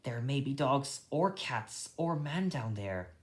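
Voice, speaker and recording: A man voicing a calm, cautious rabbit leader in a gentle, measured way. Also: medium pitch, echoey room.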